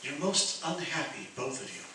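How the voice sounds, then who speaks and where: A man's voice: mid-range voice, very animated. Man playing Goodwill, an earnest visitor, echoey. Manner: earnest, measured, gently reproving. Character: calm, moralizing mysterious visitor embodying goodwill. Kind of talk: radio theatre acting. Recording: distant, echoey, noisy stage recording.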